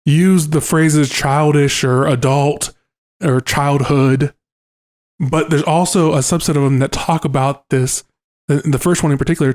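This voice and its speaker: A young man co-hosting a podcast, reasoning things through aloud in a relaxed, thoughtful way. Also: a little high. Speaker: podcast co-host. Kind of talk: casual podcast conversation.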